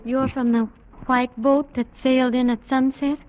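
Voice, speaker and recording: High-voiced wistful young woman. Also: flat delivery, measured pace, darkish tone. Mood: wary, wistful. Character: wary, lonely, wistful young woman of decayed plantation. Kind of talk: 1940s radio drama acting. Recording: muffled 1940s radio broadcast.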